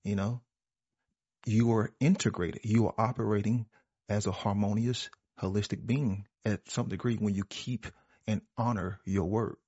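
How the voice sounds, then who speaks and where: A man's voice: fairly low pitch, fairly even intonation, normal speed, dark tone, husky. A man giving a reflective spiritual talk in an earnest, preachy manner, repeating phrases for emphasis. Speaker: panel speaker. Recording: roomy, dull lo-fi audio.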